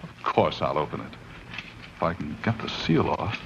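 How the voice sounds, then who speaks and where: An actor playing an excitable, uneasy man telling his own horror tale, dramatic and intense, in 1940s radio style. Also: very deep voice, creaky, exaggerated voice. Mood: curious, stubborn. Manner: dramatic, intense, with suspenseful pauses. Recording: dull, slightly noisy 1940s radio recording.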